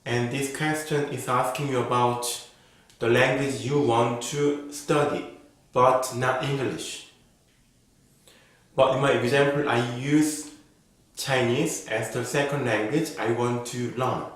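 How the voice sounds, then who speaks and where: Slow, careful man in an echoey room. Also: medium pitch, fairly even intonation. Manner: slow, careful, model-answer delivery. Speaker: IELTS speaking teacher. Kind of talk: reading sample exam answers as a lesson.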